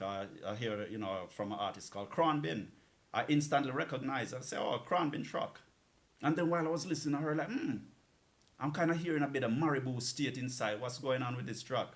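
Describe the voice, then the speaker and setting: A man hosting a music show, chatting casually and in a rambling, anecdotal way as he introduces tracks. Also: mid-range voice. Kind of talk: casual radio-style talk introducing tracks.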